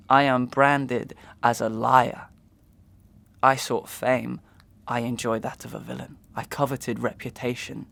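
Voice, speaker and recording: Gravelly, anguished wronged gentleman played by a man. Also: mid-range voice. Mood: bitter despair. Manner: declamatory, archaic, impassioned. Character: anguished, wronged young gentleman branded a liar and slanderer. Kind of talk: Victorian melodrama audio acting.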